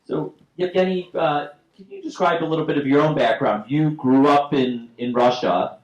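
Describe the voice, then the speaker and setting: A male moderator asks a film director measured questions during a post-screening Q&A, with some fillers and throat-clearing. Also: fairly high pitch, darkish tone, highs cut off, a little hiss or hum. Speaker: host, moderator. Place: theater stage after a screening. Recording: roomy theater sound.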